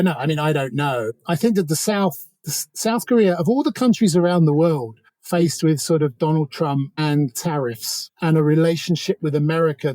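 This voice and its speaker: A man's voice: a little high, warm tone, dry recording. A man speaking as an interview guest, giving informal, discursive analysis with a wry edge. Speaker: interview guest, author on North Korea.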